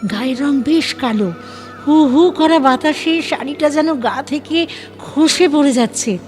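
A woman's voice: sits high, a little slow, a little mellow. A woman reading dramatic scripted narration for an audio story. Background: faint music.

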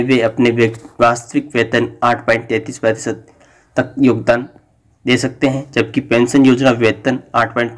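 Flat-voiced man reading pension news. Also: medium pitch. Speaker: news channel host. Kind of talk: read-out news bulletin.

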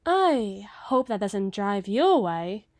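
A woman playing a cruel, possessive evil queen in an ASMR roleplay, haughty and theatrical, with deliberate menace. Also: mid-range voice, sing-song, darkish tone, dry recording, studio quality. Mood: coolly flirtatious.

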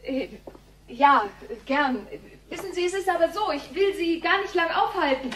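A woman's voice: extremely high pitch, animated, normal speed, a bit bright, slight background noise. An actress playing a persistent, cheeky young woman with a hesitant, colloquial manner in a naturalistic radio play. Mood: nervous. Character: flustered, persistent, cheeky young woman seeking her father.

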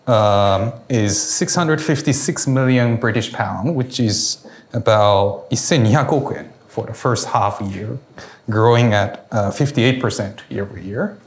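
A man in his forties moderating an onstage interview, upbeat and prepared, quoting figures to an event audience. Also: lowish voice. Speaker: moderator, venture investor. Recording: roomy hall sound.

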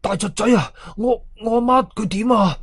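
A man's voice: high-pitched, normal speed, husky, no room sound, studio quality, voice pushed up. A man voicing a frightened middle-aged villager with an anxious, faltering way of talking in a horror audio story. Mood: worried.

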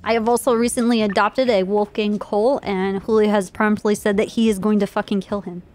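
A woman's voice: mid-range voice, level tone, a little fast, darkish tone. A young woman streamer roleplaying a police officer who argues a case in a comedic mock courtroom, speaking with mock-formal courtroom politeness. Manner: mock-formal, addresses the judge as Your Honor.

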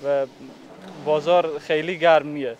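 A man's voice: a little high, steady tone, slight background noise. A young male factory representative answers formally. His phrasing is hesitant and slightly muffled by a face mask, picked up on a reporter's handheld mic in a big hall. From Afghanistan. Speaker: factory representative being interviewed. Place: large indoor factory hall. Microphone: handheld reporter microphone held toward him.

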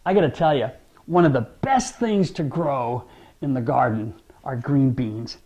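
A man in his forties talking to camera about gardening from a dining room at home, upbeat and animated, gesturing as he talks. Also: medium pitch, a little slow, lo-fi sound. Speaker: gardening vlogger. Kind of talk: casual talk to camera.

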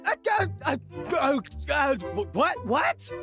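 An actor playing a flustered, hysterical man in a video game, shrill and spluttering. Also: huge pitch swings, slow pace, over music, heavily acted. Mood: panicked outrage. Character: flustered, panicky, spluttering male adversary. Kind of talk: video game character acting.

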